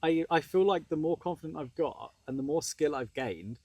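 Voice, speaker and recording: A man's voice: fairly high pitch, expressive, normal speed, darkish tone, slightly rough, dry recording. A man in casual conversation as a podcast co-host, recorded outdoors. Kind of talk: casual group chat. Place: outdoors in the desert by a campfire at dusk.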